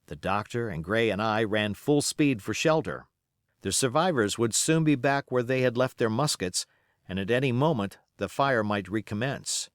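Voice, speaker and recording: Animated male audiobook narrator, dry sound. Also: mid-range voice, no room sound. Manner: expressive, measured storytelling. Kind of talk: dramatic scripted narration of an adventure novel.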